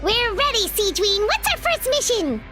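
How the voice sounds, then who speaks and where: Little floaty sidekick from a game, crazy high squeaky voice, all over the place, music under it. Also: female voice, normal speed. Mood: eager. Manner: squeaky, expressive, speaks of self in third person. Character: tiny excitable female floating companion, childlike and chatty. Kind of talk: video game voice acting.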